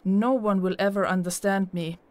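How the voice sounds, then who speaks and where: A determined podcast host from the forest played by a woman, with a Scandinavian accent and a medium-pitched voice. She talks in a brisk, matter-of-fact way, as in an audio drama. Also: steady tone, dry recording, lightly acted. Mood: exasperated, resigned.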